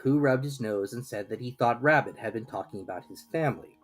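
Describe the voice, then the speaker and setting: A woman reading a children's story as a dramatic narrator, expressive and measured. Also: extremely low pitch, soft background music.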